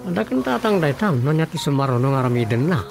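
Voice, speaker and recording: Gossipy villager over music. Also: male voice, a little high, big pitch swings, fast talker, gravelly. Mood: gossiping. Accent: Filipino. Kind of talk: Filipino comedy radio drama acting.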